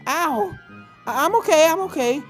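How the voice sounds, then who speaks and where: Woman as a young storybook cartoon filly, high-pitched, excitable, gushing, easily startled, music behind. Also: normal speed. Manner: exclaiming, gushing, easily flustered.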